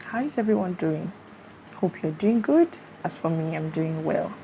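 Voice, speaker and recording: Woman vlogger, live on her phone, casual and chatty, lower voice, noisy fan behind. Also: animated, normal speed, gravelly, dark tone. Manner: greets viewers by name and keeps asking if she can be heard. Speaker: vlogger hosting a live stream. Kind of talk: casual live-stream chat. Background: fan noise.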